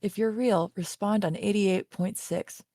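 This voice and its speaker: A woman's voice: mid-range voice, steady tone, unhurried, dark tone, dry recording, clean studio sound. A woman playing a grave survivor making a clipped emergency radio call, in an audio drama table read. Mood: urgent, grave. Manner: clipped, grave, repeating key words.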